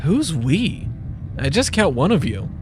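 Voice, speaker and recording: A man's voice: a little high, sing-song, unhurried. An actor playing a lonely, self-deprecating male night security guard with an awkward manner in a horror comic dub. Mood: bemused.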